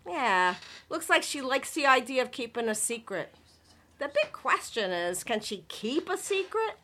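Woman playing a bright, animated, sensible mother. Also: high-pitched, measured pace, full character voice. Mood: matter-of-fact. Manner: conversational, firm. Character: sensible, worrying wife and mother keeping family peace. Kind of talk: scripted comedy audio drama.

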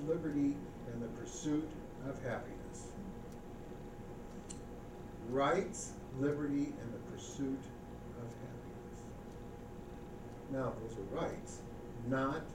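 A man preaching a sermon as pastor, reading scripture slowly and with emphasis, then speaking casually and asking the congregation questions. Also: fairly high pitch. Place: church sanctuary. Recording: echoey church recording from far off with background noise.

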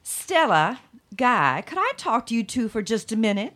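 A prim, self-righteous mother played by a woman in a comic audio drama. She speaks with formal, measured politeness, her pitch moving expressively beneath the indignant propriety. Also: big pitch swings, a bit bright. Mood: stiffly polite. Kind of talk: scripted comedy audio drama.

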